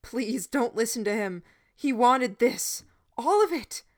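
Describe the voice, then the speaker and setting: A woman voicing a desperate teenage boy who shouts and pleads with a crowd. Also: fairly even intonation, takes it slowly, creaky, full character voice. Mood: pleading. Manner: pleading, shouting, high and loud.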